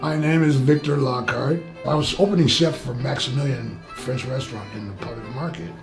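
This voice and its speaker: A man's voice: medium pitch, brisk. A man, a former chef and clinic patient, speaking candidly and reflectively in a documentary interview. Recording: echoey room with loud music bed.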